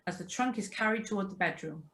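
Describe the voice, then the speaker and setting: A woman's voice: mid-range voice, quick pace, fairly bright tone. A woman reading stage directions and sound cues from a script in clipped announcements.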